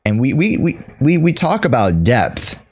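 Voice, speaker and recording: A man talking off the cuff to his livestream chat, halting and repeating himself as he searches for words. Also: mid-range voice, very animated, a little mellow. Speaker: streamer. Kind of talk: unscripted livestream commentary to chat.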